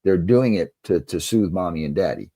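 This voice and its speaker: Guy with a really low mellow voice, bit husky, earnest coach talking in an interview. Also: animated, normal speed, no echo. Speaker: interview guest, basketball coach and author. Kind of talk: conversational interview answers.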